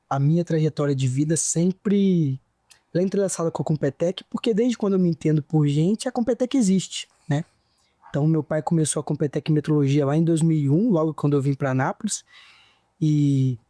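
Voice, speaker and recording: A man's voice: on the high side, warm tone, no echo, clean studio sound. A young man with a near-carioca accent from Santos Dumont, Minas Gerais, telling his story earnestly as a podcast guest. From Minas Gerais, Brazil. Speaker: guest, engineer. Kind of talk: interview answers, storytelling.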